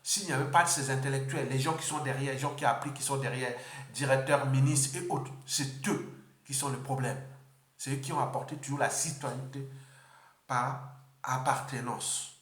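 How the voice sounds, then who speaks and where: Male political speaker from Congo, mid pitch, unscripted and halting, reverberant room. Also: steady tone, fairly bright tone. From Republic of the Congo. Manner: halting, repeats words, many fillers.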